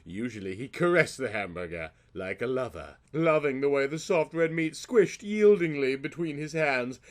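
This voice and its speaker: A man's voice: mid-range voice, animated, normal speed. A man narrating a comic story in a theatrical, mock-serious audiobook style.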